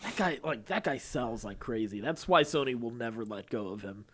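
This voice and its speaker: A man's voice: mid-range voice, animated, normal speed. A man co-hosting a comics news podcast, chatty and casual, moving between banter and news items.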